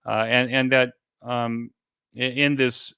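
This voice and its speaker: A man speaking as an interview guest in a conversational way, explaining things hesitantly with frequent pauses and repeated words. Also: medium pitch, little pitch movement, vocal fry, bright, forceful tone, smooth, dry recording, studio quality. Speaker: interview guest, event organizer.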